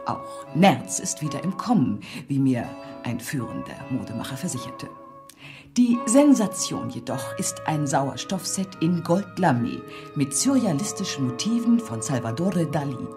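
An actress playing a polished fashion reporter in a radio drama, presenting in a matter-of-fact, breezy report style. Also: female voice, sits low, very animated, normal speed. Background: music under the voice.

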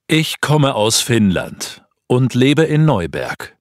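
Actor for a Finnish night guard, slow textbook reading. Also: male voice, mid-range voice, sing-song, dry recording, clean studio sound. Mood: plain. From Finland. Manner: slow, careful delivery. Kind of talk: scripted textbook monologue.

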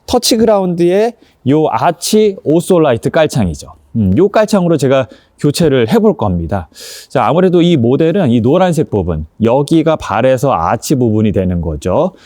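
Man, product reviewer, lively intonation, soft mellow tone, polite step-by-step explaining, dry close sound. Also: fairly high pitch, dry recording. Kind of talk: casual unboxing review, explaining step by step while demonstrating.

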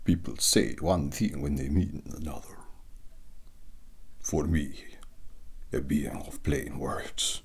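A man playing a solemn, literal-minded vampire, speaking in stilted formal phrases in a hushed ASMR roleplay. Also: very deep voice, slow, long pauses, full character voice. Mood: earnest, puzzled. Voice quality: gravelly whisper.

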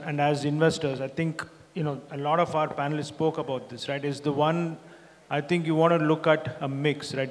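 A middle-aged man speaks on a conference stage through a handheld mic. His voice sits at an ordinary pitch, and he explains things in a measured way with plenty of fillers. The long reverb of a large hall trails behind his words. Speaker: investor panelist. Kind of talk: panel discussion answer.

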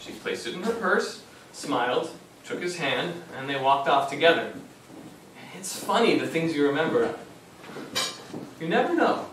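Young grandson, lively pitch, echoey. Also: male voice, moderate pace, long pauses. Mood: wistful narration. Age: young adult. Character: anxious, patient young grandson, narrator of memories. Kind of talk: live stage acting with narration. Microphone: far camera in the audience. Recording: echoey small theater, distant camera, faint hiss.

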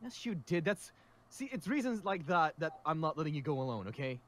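An excitable young male survivor, acted by a man in a Minecraft roleplay. His voice sits high and swings around freely as he repeats himself, hyper yet protective of his friends. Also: fairly quick. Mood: worried, firm. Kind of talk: amateur Minecraft roleplay voice acting.

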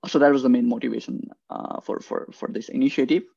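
A young man from Nepal presents slides over a video call. His voice is gravelly and sits at a middle pitch, and the call audio is dull and lo-fi. He talks hesitantly, repeats himself, and keeps checking in with "right?". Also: steady tone, fast talker, darkish tone. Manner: hesitant, repeats words often, adds "right?" as a tag. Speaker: guest speaker, quantum engineer. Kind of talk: presenting slides in an online talk. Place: indoor room, video call. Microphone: computer mic on a video call.

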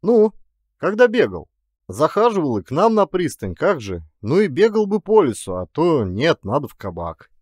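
A chatty river worker in an audiobook story, played by a male narrator, teases bluntly in folksy, colloquial speech. He exclaims with big swings in pitch, recorded dry. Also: huge pitch swings, normal speed, a little mellow, no echo. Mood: scolding, amused.

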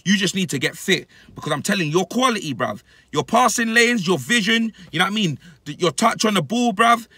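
Bright, high-pitched London man ranting. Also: expressive, fairly quick, husky, no room sound. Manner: emphatic, repetitive street-slang delivery. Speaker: football fan reacting to his club. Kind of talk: animated casual rant to camera, heavy slang. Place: inside a parked car. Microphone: phone at arm's length.

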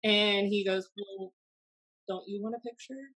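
An American woman in her thirties telling anecdotes casually, in a blunt, animated, sweary way, as a podcast host. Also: mid-range voice, a little slow. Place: small room at home, indoors. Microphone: desk podcast microphone on video call.

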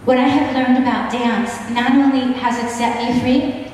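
A middle-aged woman leading a church women's gathering. She is chatty and keeps clearing her throat because she is losing her voice. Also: sits high, little pitch movement, normal speed. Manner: warm, chatty, easily sidetracked, frequent throat clearing. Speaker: dance minister. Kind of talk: informal ministry talk to a women's gathering. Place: large wood-panelled hall or church, on a stage. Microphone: handheld mic, filmed from a distant camera. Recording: roomy hall echo, distant mic, noisy.

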